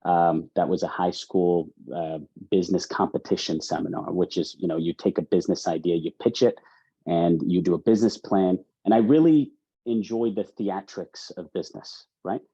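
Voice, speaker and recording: A man's voice: deep voice, normal speed, warm tone, breathy edge. A man in his thirties with a Canadian accent giving casual, chatty interview answers, often repeating himself. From Ontario, Canada. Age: 30s. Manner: chatty, repeats words and phrases. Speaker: mortgage specialist, interview guest. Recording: dull lo-fi audio.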